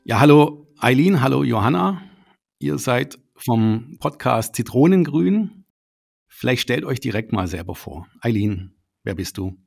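Husky, gravelly male interviewer. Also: medium pitch, big pitch swings, faint music behind. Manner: halting, repeats words. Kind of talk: casual interview.

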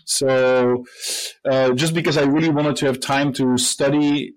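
A man from Belgium in his thirties, a professor and company co-founder, answering interview questions in a relaxed storytelling way, with fillers and restarts. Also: mid-range voice, unhurried, low-quality recording.

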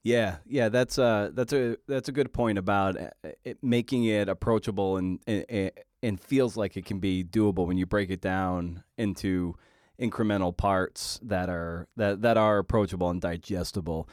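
A man hosting an interview podcast, talking conversationally in a halting way and often repeating his words. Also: fairly low pitch, fairly even intonation, darkish tone, dry recording, studio quality.

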